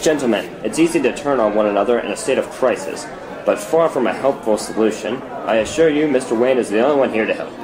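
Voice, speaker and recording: Guy doing an earnest superhero leader, mid pitched voice, measured and reassuring, screenplay read. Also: lively intonation, a crowd in the background, close to an everyday voice, rough recording. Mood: reassuring, firm. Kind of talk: dramatic screenplay table read.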